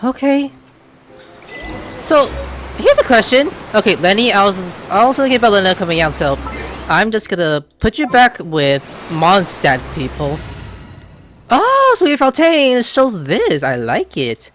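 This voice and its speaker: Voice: mid-range voice, sing-song, a little slow, a bit bright, faint music behind. A gamer giving casual, chatty commentary, muttering along to the game and its characters. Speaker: game streamer.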